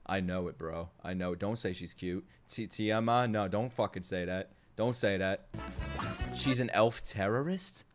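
A man's voice: fairly low pitch, unhurried. A man streaming a casual live reaction, slangy, sweary and exclamatory. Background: game trailer music.

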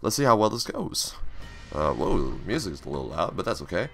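Slightly raspy young man playing a game. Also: low-pitched, big pitch swings, brisk, faint music behind. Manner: chatty, reads on-screen text aloud, upbeat. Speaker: gaming channel host. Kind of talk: casual let's play commentary.

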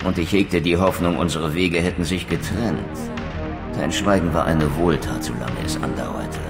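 Ein Schauspieler spricht einen bitteren, stolzen untoten Krieger in einem Videospiel, feierlich und wortgewandt. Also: male voice, a bass voice, moderate pace, gravelly, over music. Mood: sardonic defiance. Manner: solemn, eloquent, theatrical. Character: bitter, proud undead wraith warrior, male. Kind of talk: dramatic video game narration and dialogue.

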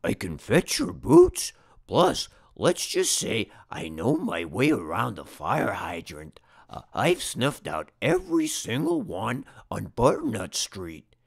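A man performs a homeless little dog from a picture book, eager and pleading. The delivery is halting and unhurried, with huge swoops in pitch and some creak in the voice. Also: heavily acted. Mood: hopeful. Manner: hesitant, stammering, expressive. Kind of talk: storybook character read-aloud.

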